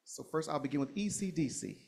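A man's voice: a little high, lively intonation, creaky, darkish tone. Man, formal prepared speech, ceremonious, emphatic, board meeting room. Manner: measured, ceremonious, emphatic delivery. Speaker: school district speaker.

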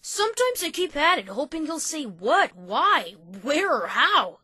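Woman as a high-pitched cheeky little boy dragon. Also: sing-song, a little slow, bright, forceful tone, no echo, clean studio sound, full character voice. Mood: casual, cheeky. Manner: cocky, childlike, playful. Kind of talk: cartoon-style fan dub acting.

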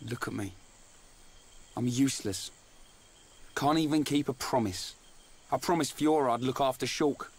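An actor playing a brawny, loyal young warrior with a British accent and a blunt, informal way of talking in a video game. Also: male voice, medium pitch, normal speed, pauses often, breathy edge. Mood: dejected, self-blaming. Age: young adult. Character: brawny young male warrior, loyal, blunt, self-doubting but hearty. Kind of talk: video game cutscene acting. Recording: game music behind the voice. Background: game soundtrack music.

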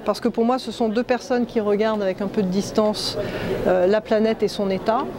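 A middle-aged woman speaks in a mid-range voice, pausing often as she explains her sculptures. She is recorded close in a busy exhibition hall, with loud crowd chatter all around her. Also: medium pitch, steady tone. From Denmark. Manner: thoughtful, unhurried with many fillers. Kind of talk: interview at an art fair.

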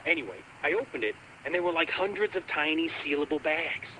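A creepy, casual driver calling a game's radio show. His voice is slightly high, with lively pitch swings and faint noise behind. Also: a little fast, rough recording. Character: casual, creepily fascinated male cab driver caller. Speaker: radio caller. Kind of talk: radio drama acting in a video game.

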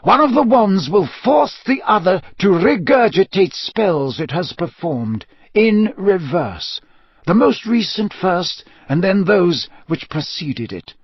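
A male narrator puts on an elderly wizard headmaster for a storybook reading. The voice is raised high, slow and measured, weary yet grave and wise. The recording is slightly dull and husky. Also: sing-song, dry recording, exaggerated voice. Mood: explaining. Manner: slow, measured, solemn delivery.

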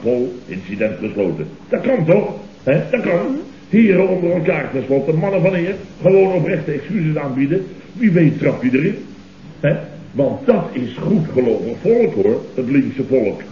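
An actor playing a blustering, self-righteous conservative boss in a Dutch radio comedy, speaking in repetitive, exclamatory bursts with a Dutch accent. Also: male voice, mid-range voice, animated, normal speed, close to an everyday voice. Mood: sly, smug. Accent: Dutch, from the Netherlands. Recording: dull, noisy, roomy old radio recording.

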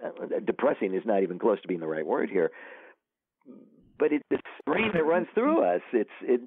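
Male author guest, very deep voice, halting and grave, phone-quality audio. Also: wildly swooping pitch, no room sound. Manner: halting, many restarts, somber. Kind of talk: casual interview talk. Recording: tinny phone line.

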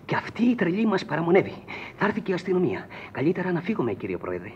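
Scheming villain, slightly high and very animated, radio-play style. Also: male voice, full character voice. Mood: plotting. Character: ruthless, scheming male oil prospector. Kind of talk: Greek radio theatre acting. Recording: dull old radio recording, faint noise.

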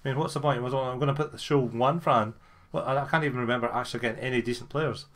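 A man's voice: medium pitch, quick pace, a bit bright, a little hiss or hum. A man giving casual, sweary gameplay commentary as he makes a video, grumbling and sarcastic. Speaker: gamer making a video.

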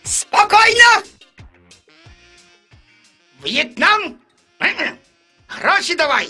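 A woman's voice: very high-pitched, very animated, very slow, sharp tone, exaggerated voice. A woman voicing a bossy, greedy, dim cartoon customs officer in an exaggerated, officious manner. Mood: commanding. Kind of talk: comic cartoon dub. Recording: music behind the voice.

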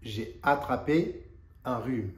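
A man in his forties from France with a stuffy nose from a cold, teaching a language lesson to camera slowly and deliberately. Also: medium pitch, reverberant. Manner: slow, deliberate teaching delivery. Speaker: French teacher. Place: living room indoors. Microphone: lapel mic.

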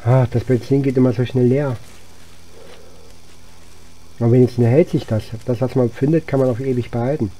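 Very dark, mellow-voiced man commentating gameplay. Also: medium pitch, steady tone, normal speed, pauses often, poor audio. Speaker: gaming channel host. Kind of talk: casual let's play commentary.

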